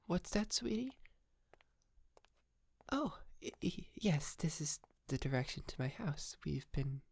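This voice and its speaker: Man acting a hesitant, creaky-voiced polite yandere. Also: a little high, full character voice. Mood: awkward, polite. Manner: hesitant, stammering, soft-spoken with breathy whispered moments. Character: polite, sheepish, wealthy young male yandere kidnapper. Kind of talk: ASMR roleplay acting.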